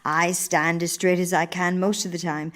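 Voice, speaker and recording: An actress playing a principled, dignified older in live radio theater, speaking in a measured, formal and earnest way. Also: female voice, lowish voice, level tone, a bit bright, close to an everyday voice. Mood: thoughtful.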